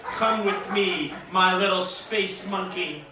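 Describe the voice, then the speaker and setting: A man's voice: high-pitched, slow pace, distant mic, crowd noise. A man playing a grandiose, melodramatic superhero in an old-time radio serial, pompous and declamatory. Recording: very echoey noisy hall.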